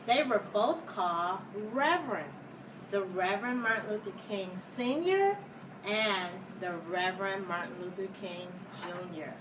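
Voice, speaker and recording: Woman reading a storybook, distant noisy mic. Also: medium pitch. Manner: deliberate, explains asides to young listeners. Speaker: elementary school teacher reading aloud. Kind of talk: children's picture book read-aloud. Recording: distant mic, clear background noise.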